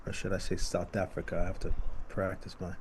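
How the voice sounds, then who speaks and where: A man calling a college tennis match live, calm in his analysis and lively when a big shot is played. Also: low voice, fairly quick, a little hiss or hum. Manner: excited bursts on big shots, calm analysis between points. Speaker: tennis commentator. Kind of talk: live sports commentary. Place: outdoor tennis venue.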